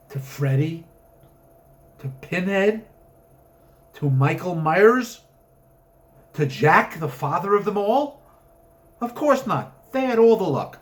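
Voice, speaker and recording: A man reading a story aloud as its author, narrating with theatrical, emphatic comic drama. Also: on the high side.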